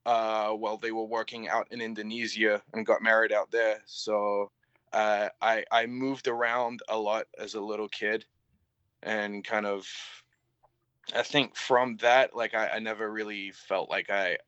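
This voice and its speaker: A young man with a mix of English and Canadian accent, a band vocalist chatting as an interview guest in a halting way, full of fillers and casual swearing, on slightly dull lo-fi audio. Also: lowish voice, little pitch movement, fairly bright tone, smooth, no room sound.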